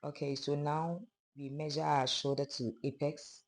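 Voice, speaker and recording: Hoarse voice, sewing instructor, calm step-by-step tutorial, mid pitch. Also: normal speed. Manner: calm, step-by-step explaining of measurements. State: hoarse, losing voice. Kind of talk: pattern-drafting tutorial narration.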